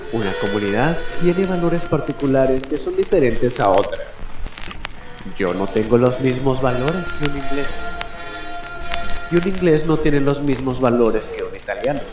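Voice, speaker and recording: A man hosting a late-night mystery radio show, speaking in a theatrical, deliberate way with dramatic pauses. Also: medium pitch. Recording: roomy echo, music behind. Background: music.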